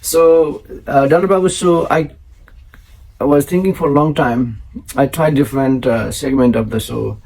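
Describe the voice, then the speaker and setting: A man, a Maithili speaker, introduces his show in a rough, husky voice. His tone is dark and mellow, and his speech halts on frequent fillers and restarts. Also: medium pitch, steady tone, poor audio. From South Asia. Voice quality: gravelly, husky. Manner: halting, many fillers and restarts. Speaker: host.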